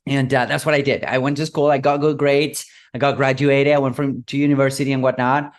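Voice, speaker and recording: An Argentine man in his thirties, a Spanish speaker talking in English as a podcast guest. He tells his story in an easygoing, rambling way, dropping fillers like "you know" and "whatnot" at a steady mid pitch. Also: a little fast. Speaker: guest, digital marketing consultant.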